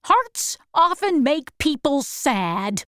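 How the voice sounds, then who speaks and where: A woman voicing a timid old man who poses as a wizard, pleading and apologetic, read slowly in a storybook. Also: sits high, very animated, fairly bright tone, no room sound, clean studio sound, pitched above the performer's own voice, exaggerated voice. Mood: explaining. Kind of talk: slow storybook reading.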